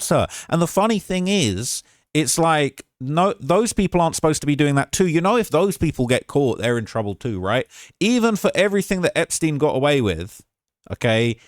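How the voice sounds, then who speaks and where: A man's voice: on the high side, no room sound, studio quality. A man hosting a commentary show, talking casually and mockingly as he reacts to clips. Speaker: commentary channel host.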